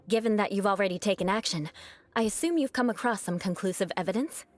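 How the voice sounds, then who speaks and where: A woman voicing a proud young noblewoman knight in a video game, composed and defiant, with formal, measured, coolly disdainful delivery. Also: medium pitch, lightly acted. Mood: businesslike.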